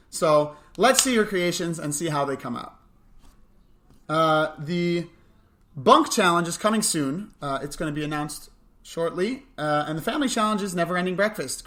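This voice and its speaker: A man's voice: sits high, fairly bright tone. A man co-hosts a morning show in a casual, unscripted way. He makes announcements and slips in wry, joking asides. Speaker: camp morning show co-host.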